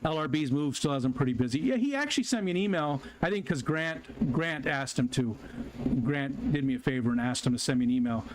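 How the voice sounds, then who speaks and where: A male live-stream host chats loosely with his viewers, his voice pitched slightly high. He rambles, restarts sentences and fills gaps with "uh" and "um". A clear hum of background noise runs under the home recording. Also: lively intonation, fairly quick. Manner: rambling, chatty, many fillers and restarts. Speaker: livestream host. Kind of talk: casual live-stream chat.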